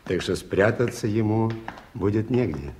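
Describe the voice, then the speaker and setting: Deep-voiced, well-informed gentleman. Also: animated, a little slow. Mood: earnest, explanatory. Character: polite, well-informed gentleman explaining a guarded estate. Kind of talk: Soviet radio play acting. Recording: noisy 1980s radio play recording.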